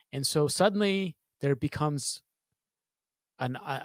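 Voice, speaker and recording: A man in his thirties giving thoughtful interview answers as a sound designer, halting and repeating phrases as he searches for words, close on a studio mic in a small home studio. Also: medium pitch, no room sound. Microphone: studio microphone on a boom arm, close.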